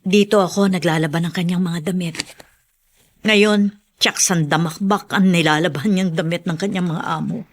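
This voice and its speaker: A woman's voice: fairly low pitch, steady tone, normal speed. An actress playing a humble, caring foster mother in a radio drama, speaking in a homely, respectful way. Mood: wistful. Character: humble, caring laundry-doing foster mother, missing her child. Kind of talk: Tagalog radio drama acting.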